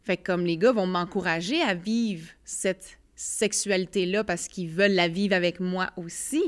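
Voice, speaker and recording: A woman's voice: fairly low pitch, unhurried. A young woman with a Quebec French accent gives candid personal testimony as a podcast guest. She talks earnestly, full of fillers, often voicing her own past thoughts as quotes. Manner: earnest, many fillers, lively storytelling with quoted inner voice.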